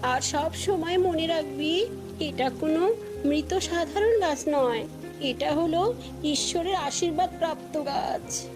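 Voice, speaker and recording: A woman voicing a loving, dying mother bird with solemn, halting pleas, in an animated fable. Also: sits high, music in the background. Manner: slow, solemn, halting pleading.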